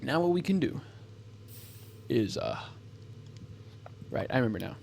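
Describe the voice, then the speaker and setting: A man's voice: medium pitch, big pitch swings, a little slow, vocal fry, darkish tone, slight background noise. Rambling man narrating his gameplay. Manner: rambling, thinks aloud, self-correcting. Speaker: gameplay commentator. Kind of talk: casual let's-play commentary.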